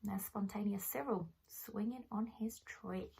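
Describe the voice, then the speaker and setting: A woman reading a children's picture book aloud expressively, with dramatic pauses and chatty asides to young listeners. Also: mid-range voice, fairly even intonation, vocal fry, darkish tone, a little hiss or hum. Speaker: primary school storyteller.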